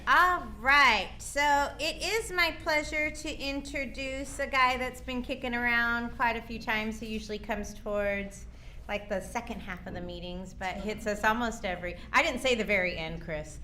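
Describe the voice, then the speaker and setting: A woman's voice: on the high side, moderate pace, sharp tone, slight background noise. A woman chairing a recovery meeting, introducing the next speaker in a friendly, informal and teasing way.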